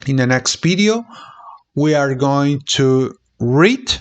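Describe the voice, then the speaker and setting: A man reading a business textbook aloud in a deliberate, halting way, sometimes repeating words. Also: mid-range voice, animated. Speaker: channel host reading a book aloud.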